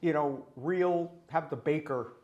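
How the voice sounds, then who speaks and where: A man from the US Upper East Coast hosting a casual interview show, chatty and quick to laugh. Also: a little high, dull audio. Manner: chatty, laughs easily, restarts often. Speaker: talk show host.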